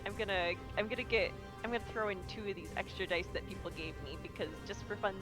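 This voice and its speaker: A woman's voice: mid-range voice, fast, over music, rough recording. A woman playing at a tabletop game table, chatting eagerly in playful slang and laughing easily. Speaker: player. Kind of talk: casual tabletop play chat.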